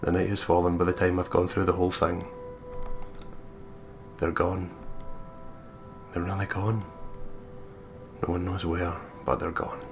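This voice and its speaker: A man's voice: sits low, level tone, unhurried, pauses often, over music. A man reading visual novel narration aloud and breaking into jokey, profane commentary as a gaming co-host. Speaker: let's play co-host.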